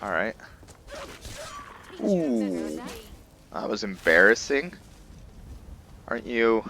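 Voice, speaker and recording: A man's voice: lowish voice, very animated, drawn-out words, bright, forceful tone, slight background noise. A man giving casual gameplay commentary as a let's player, thinking aloud in short bursts.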